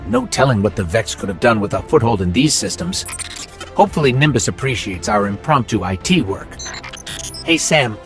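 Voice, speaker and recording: An actor playing an earnest, curious male robot companion in a video game, thoughtful and polite. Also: mid-range voice. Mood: polite, inquisitive.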